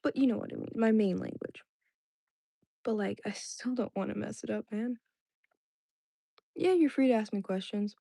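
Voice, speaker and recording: A woman's voice: medium pitch, moderate pace, vocal fry, long pauses, dark tone, no echo, studio quality. A young woman whose first language was Indonesian, chatting casually with her stream viewers in a rambling way, full of "like"s and restarts. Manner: rambling, filler-heavy, many "like"s and restarts. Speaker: livestream host. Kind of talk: casual chat with live chat viewers.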